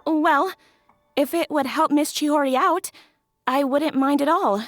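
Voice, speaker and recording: A young bride-to-be from a video game, with a fairly high voice. She speaks earnestly and gently, grateful and slightly hesitant. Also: a little slow, faint music behind. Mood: eager, grateful. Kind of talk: video game character acting.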